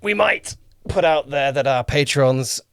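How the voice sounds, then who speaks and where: A man's voice: mid-range voice, lively intonation, a little slow, clear, resonant tone, no room sound, clean studio sound. A man hosting a casual podcast interview, rambling a little as he rephrases his questions. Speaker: podcast host and drama producer.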